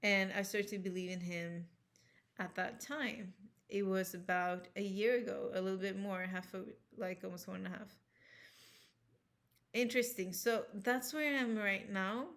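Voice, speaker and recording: A woman's voice: medium pitch, steady tone, measured pace, fairly bright tone. A woman with a Swedish accent, speaking English as a second language, talking casually and earnestly to camera as a health vlogger, rambling with restarts and self-corrections. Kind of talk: casual personal talk to camera.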